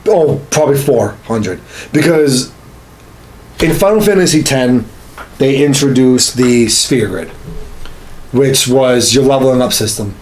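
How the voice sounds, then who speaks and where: A man's voice: medium pitch, expressive, unhurried, pauses often, a little hiss or hum, poor audio. A man in his thirties talking casually and emphatically about games, swearing and repeating himself as he goes. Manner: profane, emphatic, repetitive. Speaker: podcast host. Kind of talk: casual gaming chat. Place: small home studio room, indoors.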